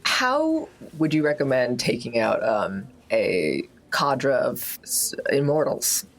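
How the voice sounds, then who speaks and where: Husky voice, lively intonation, wry, casual in-game chat. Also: medium pitch, takes it slowly. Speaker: tabletop game player. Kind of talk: casual in-character game discussion.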